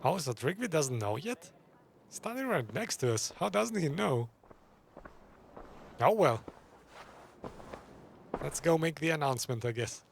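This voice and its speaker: A man's voice: on the high side, big pitch swings, measured pace, gravelly, long pauses, a little hiss or hum. A man giving casual commentary as a streamer playing through a video game. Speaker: gaming streamer.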